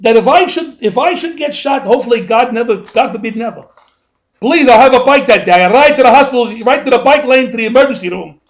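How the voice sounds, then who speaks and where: A man's voice: very high voice, expressive, fast talker, lo-fi sound. A man playing a grumbling older male New Yorker, ranting in a rambling, indignant comic monologue full of asides.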